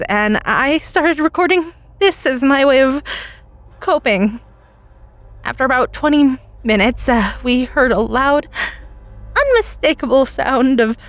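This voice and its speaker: Woman acting a nervous teenage girl, high voice. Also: close to an everyday voice. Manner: hesitant, anxious, stammering. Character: nervous teenage freshman girl recording during a school lockdown. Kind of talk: dramatic first-person audio story narration.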